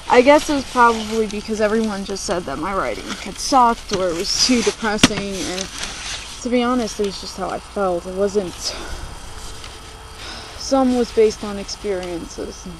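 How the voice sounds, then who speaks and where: A young American woman around twenty talking casually and confessionally in a video blog, halting and subdued, recorded on a laptop. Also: mid-range voice. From United States, born in New Jersey, moved to Virginia. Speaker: video blogger. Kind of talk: confessional casual vlog talk. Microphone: laptop microphone. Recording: noisy amateur laptop recording.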